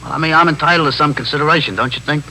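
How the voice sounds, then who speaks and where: An actor playing a nervy, talkative small-time drug pusher in a 1950s radio drama, rambling on in streetwise slang and justifying himself. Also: male voice, a little high, very fast, bright, forceful tone. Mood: bargaining. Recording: noisy 1950s radio broadcast recording with hum.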